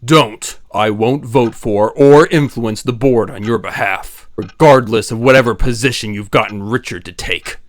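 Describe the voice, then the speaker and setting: A man's voice: mid-range voice, normal speed, hardly any pauses, no room sound, clean studio sound, lightly acted. Man acting a brooding, guarded rich socialite. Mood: guarded, grave. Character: brooding wealthy male socialite, guarded and courteous. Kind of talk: comic dub drama acting.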